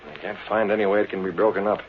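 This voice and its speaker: An actor playing a restless, breezy playboy newspaper publisher in vintage American radio drama, with an American accent. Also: male voice, low-pitched, fairly even intonation, very fast, natural voice. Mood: restless, indignant. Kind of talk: 1930s-40s American radio drama acting. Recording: noisy, narrow-band old radio recording.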